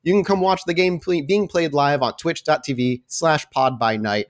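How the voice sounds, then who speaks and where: A man's voice: on the high side, moderate pace. A man speaking as a podcast host and game storyteller, chatty and informal. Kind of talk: podcast announcements and game narration.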